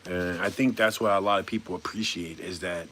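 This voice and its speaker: A man's voice: low-pitched, steady tone, fairly quick, bright, forceful tone, a little hiss or hum. A man in his forties chatting casually on a live stream, reading viewer comments aloud and answering them in a laid-back, rambling, goofy way with a chuckle now and then. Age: 40s.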